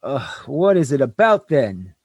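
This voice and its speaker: A man's voice: mid-range voice, big pitch swings, unhurried, darkish tone, slightly rough, exaggerated voice. A man playing an exasperated, tactless human starship captain in a sci-fi radio comedy, blustering and pleading as he talks.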